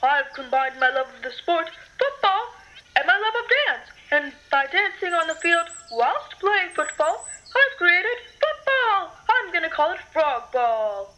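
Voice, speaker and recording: A very high, bright, exclaiming frog you would meet bragging on a lily pad in a children's radio play. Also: female voice, sing-song, unhurried, heavily acted, low-quality recording. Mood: thrilled, proud. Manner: exclaiming, croaks "ribbit". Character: excitable, boastful inventor frog who loves football. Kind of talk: audio drama acting.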